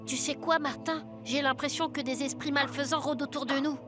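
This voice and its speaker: A woman voicing a loyal, excitable young boy sidekick in a French cartoon. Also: high-pitched, fairly quick, close to an everyday voice. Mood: worried. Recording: music under the voice.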